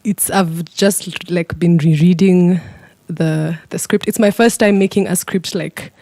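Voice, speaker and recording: A woman's voice: fairly low pitch, fairly even intonation, a little slow, dark tone, no room sound. A woman with a Kenyan accent chatting casually and informally about her day and her work. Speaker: writer. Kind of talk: casual chat.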